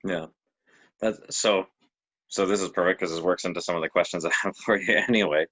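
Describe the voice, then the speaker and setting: Deep, flat-voiced man asking questions. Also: fairly quick. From Canada. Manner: relaxed, asks questions with short agreeing replies. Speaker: podcast host. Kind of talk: casual interview chat. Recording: dull lo-fi audio.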